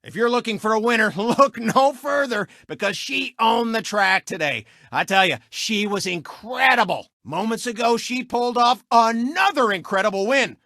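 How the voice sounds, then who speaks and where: A smug cartoon race car presenting his own racing show in a video game. The voice is high and bright, swooping widely as he brags and sneers like a showboating sportscaster. It is recorded very dry and close. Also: lively intonation, normal speed, creaky, hardly any pauses, no room sound, full character voice. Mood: showy announcing. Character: boastful, smug male race car turned TV show host.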